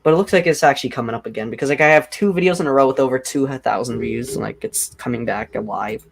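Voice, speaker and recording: A young man with a deep voice hosting a casual livestream, rambling and filling nearly every phrase with "like". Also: fast talker, vocal fry. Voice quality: self-described deep voice. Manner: rambling, heavy use of "like". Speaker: livestream host.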